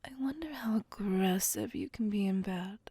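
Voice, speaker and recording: A woman playing a seductive, possessive vampire lover in an intimate ASMR roleplay, breathy and whispered, with teasing menace. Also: mid-range voice, expressive, warm tone, no room sound, clean studio sound. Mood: adoring, teasing. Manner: slow, teasing, theatrical purring menace.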